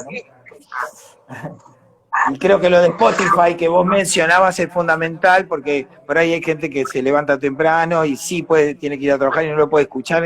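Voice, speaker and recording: A man with an Argentine Rioplatense accent, speaking conversationally as an actor being interviewed, animated in his telling. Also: a little high.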